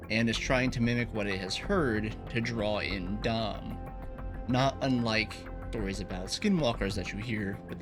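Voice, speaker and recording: A man hosting a video essay, reading a scripted, analytical explanation. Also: fairly low pitch. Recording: voice over background music. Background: background music.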